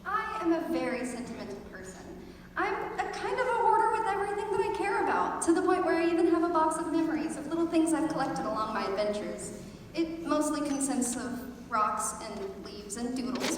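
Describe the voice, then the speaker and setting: Teen female theater student, high register, overstated comic storytelling, reverberant hall with faint noise. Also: animated, a little fast, far from the mic. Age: teenager. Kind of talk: scripted personal storytelling monologue on stage. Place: large echoey indoor performance space. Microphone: distant camera microphone.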